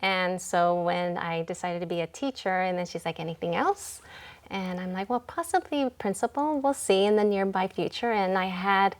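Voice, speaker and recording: A woman in her forties, a school principal, talking in a relaxed interview in a reflective, unhurried way with many ums and pauses. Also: on the low side. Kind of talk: casual interview talk.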